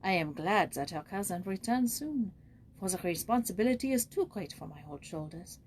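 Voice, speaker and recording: A woman voicing a kindly old French madame, proper and cautious, with a formal, measured way of talking. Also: mid-range voice, expressive, slight background noise, natural voice. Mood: fond, worried.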